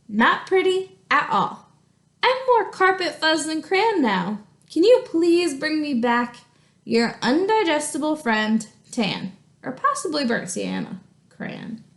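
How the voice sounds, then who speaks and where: Bewildered, traumatised storybook crayon, played by a woman: high-pitched, melodramatic. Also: fairly bright tone, roomy sound, full character voice. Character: traumatised, confused tan crayon, chewed and fuzzy.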